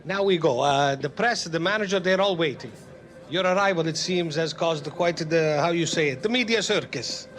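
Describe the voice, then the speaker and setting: A man playing a foreign football club executive in a game cutscene, courteous and halting in accented English. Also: a little high, a bit bright, lightly acted. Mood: gracious. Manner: courteous, halting non-native phrasing. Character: smooth foreign football club executive, anxious under pressure. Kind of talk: video game cutscene acting. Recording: music behind the voice.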